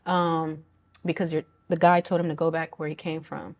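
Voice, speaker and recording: Measured woman interviewer, lowish voice. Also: flat delivery, a little mellow. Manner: measured, hesitant with fillers. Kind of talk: interview questions.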